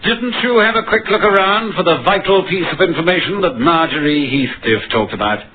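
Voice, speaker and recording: An actor playing a composed, probing British police inspector, formal and dryly authoritative, in an old-time radio drama. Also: male voice, fairly high pitch, expressive, moderate pace, a crowd in the background, natural voice. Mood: brisk, dry. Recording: muffled old radio broadcast recording.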